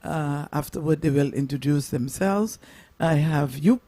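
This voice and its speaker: Guy hosting local radio, slow and halting, kinda higher voice. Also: warm tone. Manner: halting, wordy, formal phrasing. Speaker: host of a local radio program. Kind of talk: informal radio introduction and interviewing.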